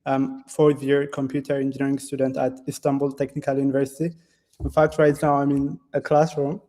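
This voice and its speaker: Ethiopian man, dark voice, flat delivery. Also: medium pitch. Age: 20s. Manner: halting, many fillers and restarts, non-native phrasing. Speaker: guest developer. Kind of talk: casual interview answers. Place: classroom.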